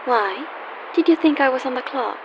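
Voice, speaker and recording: High-voiced, wry, world-weary young call girl, acted by a woman. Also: normal speed. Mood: teasing. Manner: teasing, wistful, guarded. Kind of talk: radio play acting. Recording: dull lo-fi audio with faint hiss.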